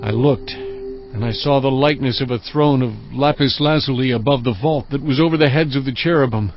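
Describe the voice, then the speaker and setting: Man narrating scripture over music. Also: medium pitch. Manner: measured, steady storytelling delivery. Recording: dull lo-fi audio with music bed.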